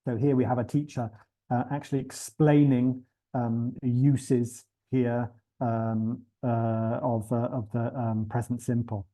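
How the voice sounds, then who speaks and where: A man's voice: mid-range voice, little pitch movement, takes it slowly, dark tone. Hesitant man presenting a webinar. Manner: hesitant, many fillers and restarts.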